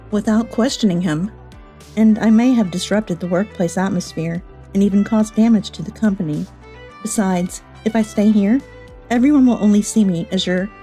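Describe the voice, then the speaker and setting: A woman's voice: medium pitch, warm tone, natural voice. An actress playing an earnest, contrite young woman, a president's daughter, in a manga dub. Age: young adult.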